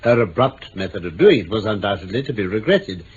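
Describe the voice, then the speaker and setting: An actor playing a keen, amused, self-assured English gentleman detective in mid-century radio drama style, urbane and precise. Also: lowish voice, sing-song, fairly quick. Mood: persuasive, courteous. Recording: muffled, noisy old radio recording.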